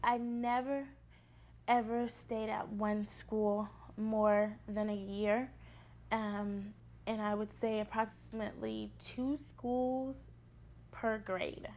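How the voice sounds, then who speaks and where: A woman's voice: mid-range voice, steady tone, slow pace, darkish tone. A twenty-year-old American woman giving a candid personal account in an interview. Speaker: interviewee, former foster youth.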